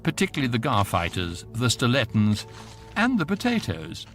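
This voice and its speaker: A man's voice: mid-range voice, huge pitch swings, normal speed, a bit bright, faint music behind. A British man narrating a radio comedy as an urbane, wry galactic guide, with dry storytelling. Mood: wry, grand.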